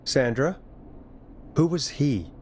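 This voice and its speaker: Lively, reassuring radio DJ. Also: male voice, mid-range voice, takes it slowly, slight background noise. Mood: gentle, probing. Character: smooth-talking, gentle male radio DJ. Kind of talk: video game voice acting.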